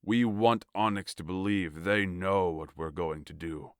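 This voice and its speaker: A man playing a large, ruthless warrior king in a fantasy audio story, speaking in a measured, commanding, formal way. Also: sits low, steady tone, smooth, no echo, clean studio sound. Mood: commanding, calculating. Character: large, tall warlord king, cold, commanding, ruthless strategist. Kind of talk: audio fiction acting.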